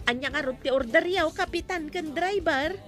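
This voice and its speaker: A woman's voice: very high voice, a little slow, music in the background. A woman with an Ilocano accent playing a cheeky, teasing young waitress in a radio comedy drama.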